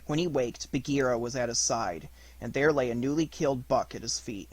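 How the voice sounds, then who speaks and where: A man's voice: medium pitch, brisk, a little mellow, dry recording. A man reading a literary story aloud as an audiobook narrator, in a scripted narration style.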